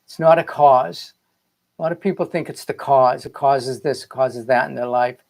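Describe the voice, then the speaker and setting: Male spiritual coach, middle-aged or older, Boston accent, medium pitch, earnest and rambling livestream delivery. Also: moderate pace, gravelly, a little mellow. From Boston area, United States. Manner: earnest, rambling, repeats phrases for emphasis. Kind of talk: casual motivational livestream talk.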